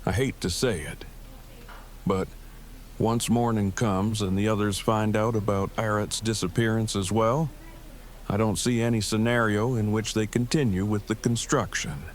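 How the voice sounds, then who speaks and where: A man's voice: on the low side, steady tone, moderate pace, a little hiss or hum, lightly acted. An actor playing a formal, verbose, earnest man surviving an apocalypse in an audio drama, speaking stiffly and precisely. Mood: dry, matter-of-fact. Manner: stiff, precise, long-winded wording, dry. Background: rain ambience.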